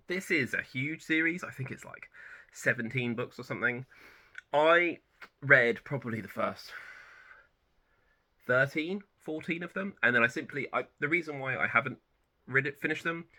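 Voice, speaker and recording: Very bright-toned man in his thirties. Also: lowish voice. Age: 30s. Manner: relaxed and rambling, with frequent self-corrections. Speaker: book channel host. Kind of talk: casual personal chat to camera.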